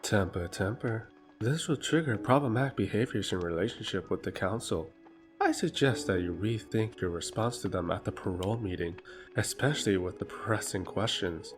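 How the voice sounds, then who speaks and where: A man's voice: lowish voice, moderate pace, lightly acted. A man playing a haughty, needling royal emissary in a fantasy audio roleplay, formal, condescending and mocking. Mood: mocking menace. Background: music.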